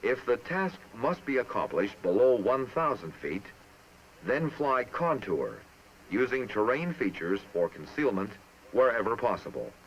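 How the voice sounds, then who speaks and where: A man narrating a military training film in a formal, measured, instructive manner, reading from a script. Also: medium pitch, sing-song. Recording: muffled, noisy old film soundtrack.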